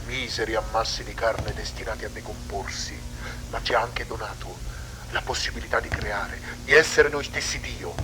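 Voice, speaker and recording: A man's voice: mid-range voice, noisy background, natural voice. A man playing a solemn, fanatically religious sculptor in a horror drama, speaking in a grandiose, brooding, dramatic way. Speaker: talk show guest. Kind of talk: horror drama monologue in interview form.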